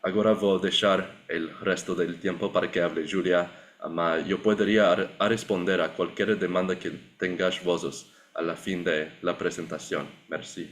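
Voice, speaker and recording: A man's voice: low-pitched, steady tone, normal speed, hardly any pauses. A young man, a language learner and project participant, reading a prepared presentation with careful self-corrections. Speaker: graduate student and project participant. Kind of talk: prepared presentation read aloud.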